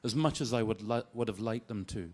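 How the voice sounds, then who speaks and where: A man's voice: on the low side, a little fast, a little mellow. A man in a conference audience asking the panel an earnest, winding question. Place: conference hall.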